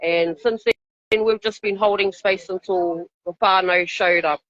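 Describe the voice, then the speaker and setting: A woman's voice: medium pitch, fairly even intonation, measured pace, a bit bright, no room sound. A woman with a New Zealand accent giving an earnest, informal live interview as an activist. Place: outside a hospital, live call. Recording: dull lo-fi live stream audio.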